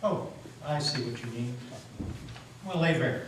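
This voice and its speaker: A man's voice: mid-range voice, lively intonation, a little slow, distant mic, lightly acted. Man playing a suave, simpering devil in a hall. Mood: smug. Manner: simpering, mock-pious, unctuous. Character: suave devil stranger, sly, pious, smugly polite. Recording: echoey hall from afar, background noise.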